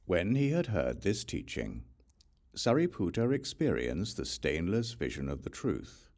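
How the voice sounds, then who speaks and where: A man reading scripture as an audiobook narrator, in a measured storytelling way with expressive dialogue. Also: low voice.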